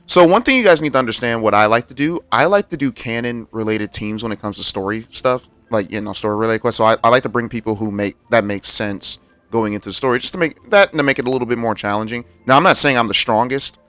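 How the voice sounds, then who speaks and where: A man's voice: medium pitch, fast, a bit bright, no room sound. An American man giving slangy, joking live commentary on a game, talking back to it casually. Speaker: game streamer. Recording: music under the voice.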